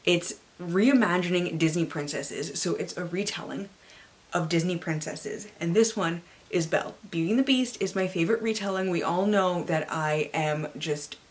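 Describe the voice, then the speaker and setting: Theatrical, excitable woman with a lowish voice. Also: normal speed. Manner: excitable, theatrical, dramatic emphasis. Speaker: book reviewer and vlogger. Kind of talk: book haul vlog with dramatic blurb readings.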